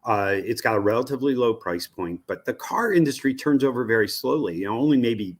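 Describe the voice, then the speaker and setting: A man's voice: mid-range voice, animated, fairly quick. A man with an American accent giving a conversational expert interview, explaining things and thinking aloud with frequent asides. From United States. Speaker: media research executive, interviewee.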